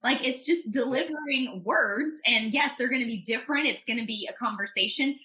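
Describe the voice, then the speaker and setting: A woman speaks as a guest in a conversational interview, her voice a touch high. She explains things in an animated, confident way, with a bright, forceful tone. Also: a little fast. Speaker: podcast guest.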